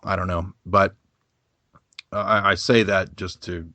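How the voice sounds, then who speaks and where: A man's voice: sits low, level tone, slow pace, sharp tone, dry recording. A man speaking as a guest in a casual podcast discussion, rambling with many fillers and restarts.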